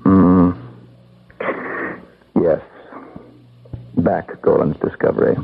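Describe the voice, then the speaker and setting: Measured courteous consultant, formal precise delivery, gravelly edge, radio drama, tinny noisy recording. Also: male voice, sits low, little pitch movement, heavily acted. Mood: brisk, assured. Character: measured, courteous male consultant feted as a brilliant decision-maker. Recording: tinny, narrow-band old radio, noisy.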